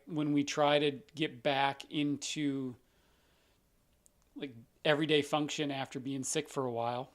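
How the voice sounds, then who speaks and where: A doctor with a scratchy voice hosting a live question-and-answer stream, explaining things in a loose conversational way with lots of everyday examples. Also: male voice, mid-range voice.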